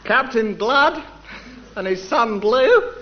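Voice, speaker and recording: A man's voice: very high voice, expressive, slow pace. A man trading banter and puns as a panellist on a radio comedy show. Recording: muffled, very noisy live radio recording. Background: loud studio audience laughter.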